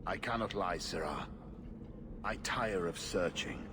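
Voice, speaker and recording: Game role: middle-aged templar, weary and earnest, lower register, noisy backdrop. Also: male voice. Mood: resigned. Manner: formal, earnest, measured. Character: weary aging templar knight, formal and discouraged. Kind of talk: video game dialogue acting. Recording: clear noise behind the voice.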